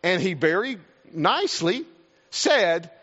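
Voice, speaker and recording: A man's voice: sits high, sing-song, takes it slowly, fairly bright tone, husky. A man with a South Carolina accent, preaching a sermon and telling a personal story in a folksy, earnest way. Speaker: pastor. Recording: reverberant hall, dull lo-fi sound.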